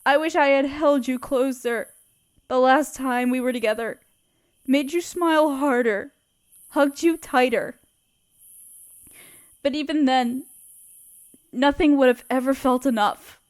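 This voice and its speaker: A woman voicing a grieving young woman who writes a letter to her lost love, speaking softly and tenderly while sniffling through tears. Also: high voice, measured pace, long pauses. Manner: soft, hushed and tender. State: sniffling, tearful. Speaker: audio roleplay performer. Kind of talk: intimate audio roleplay reading a letter.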